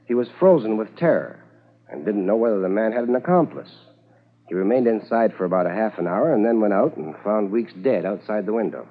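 Calm police corporal for 1950s radio drama. Low, husky delivery, tinny sound. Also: male voice, a little fast. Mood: measured, reporting. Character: capable police corporal detective, calm and matter-of-fact.

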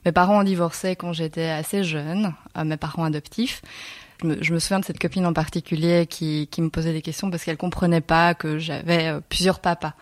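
A woman, recounting her own story as an interview guest, reflective and often pausing to find her words. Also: deep voice, fairly even intonation, no room sound. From Belgium. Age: 30s.

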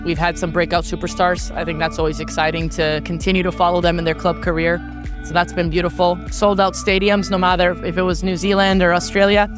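A woman from Canada, a soccer coach and former player, answering interview questions with energy and many quick restarts. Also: on the low side, over music. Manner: energetic, fast, frequent restarts and fillers.

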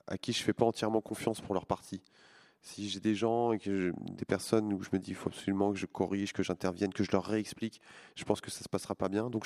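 A man in his forties, a comic book artist, talks through an interview with a fairly low voice. He hesitates often, repeating words and restarting sentences as he thinks aloud. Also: a little mellow. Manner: hesitant, many restarts and fillers. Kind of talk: interview answers.

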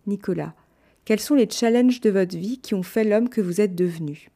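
A woman hosts an interview, posing questions in a calm, measured way. Her voice has a middle pitch with a mellow, warm tone. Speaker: podcast host.

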